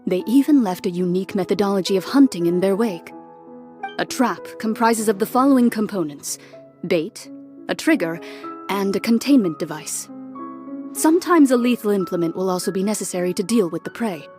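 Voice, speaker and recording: Composed, dry young woman, mid-pitched, played by an actress. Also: lively intonation, warm tone, faint music behind, lightly acted. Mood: composed, explanatory. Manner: measured, dry, explanatory. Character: composed, matter-of-fact young woman, expert trap strategist. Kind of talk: video game cutscene voice acting.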